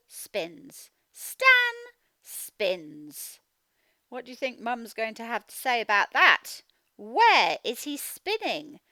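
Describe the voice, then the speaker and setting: A woman's voice: fairly high pitch, sharp tone, no echo, clean studio sound. A woman reading a storybook as a phonics teacher, sounding out words and putting questions to young children. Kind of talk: interactive storybook read-aloud lesson.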